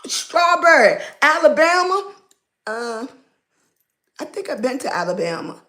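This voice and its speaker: A woman's voice: high voice, big pitch swings. A woman from Virginia with a Southern accent, chatting live with her viewers, greeting them by name and calling them baby. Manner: warm, affectionate, calls everyone baby, drawn-out words. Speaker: live streamer. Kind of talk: casual live-stream chat greeting viewers.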